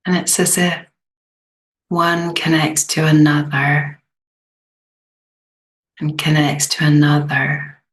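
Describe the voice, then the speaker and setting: Very slow, low-pitched woman guiding meditation. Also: little pitch movement, low-quality recording. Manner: gentle, unhurried, long pauses. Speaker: meditation guide. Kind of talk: guided meditation.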